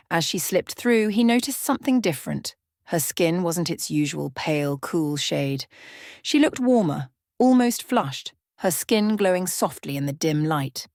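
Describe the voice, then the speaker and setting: A woman narrating a scripted ASMR bedtime story in a measured, hushed dramatic reading, close to the microphone. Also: fairly low pitch, vocal fry, dry recording. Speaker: storyteller, channel host.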